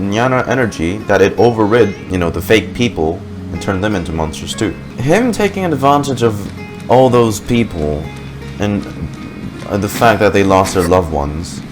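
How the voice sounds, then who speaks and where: A man giving casual gaming reaction commentary, informal and rambling as he thinks aloud. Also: lowish voice. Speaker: gaming reaction video host. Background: game music.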